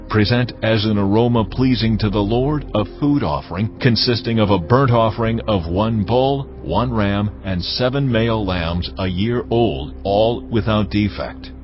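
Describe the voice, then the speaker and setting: A man performing a solemn lawgiver who dictates sacred ritual commands in measured, formal phrasing for a dramatized audio Bible. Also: lowish voice, lightly acted. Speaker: scripture reader. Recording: dull lo-fi audio, prominent music behind. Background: loud background music.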